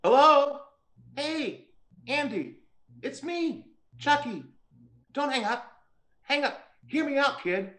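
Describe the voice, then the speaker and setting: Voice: high voice, lively intonation, slow pace, long pauses, fairly bright tone, full character voice. An actor voicing a killer doll who wheedles and pleads to make amends in a dramatic scene over a video call. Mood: coaxing. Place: indoor room, remote video call. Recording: roomy echoing video-call audio.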